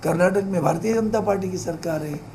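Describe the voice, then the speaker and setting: High-voiced male politician, faint background noise. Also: brisk, a little mellow. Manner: challenging, repetitive rhetorical phrasing. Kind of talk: remarks to press.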